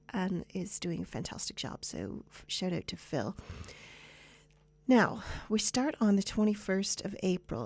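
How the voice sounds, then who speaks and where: A woman with a Canadian accent hosting a radio show, giving chatty, unscripted announcements in an informal, halting way. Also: fairly low pitch, darkish tone, no echo.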